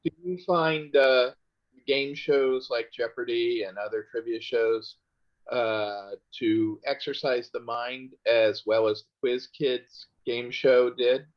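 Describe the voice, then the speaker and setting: A man speaks slowly and casually from the audience of an online meeting. His mid-pitched voice comes through dull and muffled over the call. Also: fairly even intonation, dry recording. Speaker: audience member asking a question. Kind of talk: casual question on a video call. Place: remote video call.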